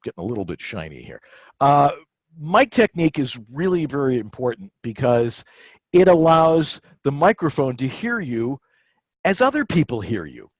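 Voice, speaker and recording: A man's voice: medium pitch, a little mellow, dry recording. A man giving informal, hands-on voiceover instruction in an emphatic, didactic and casually folksy way.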